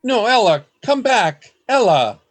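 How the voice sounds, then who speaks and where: Guy playing a lovesick desperate man in a radio play, husky, slow, pitch swinging all over. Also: big pitch swings, heavily acted. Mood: pleading, desperate. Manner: slow, dramatic, pleading delivery. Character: anguished lovesick man, earnest, desperate, hiding among others.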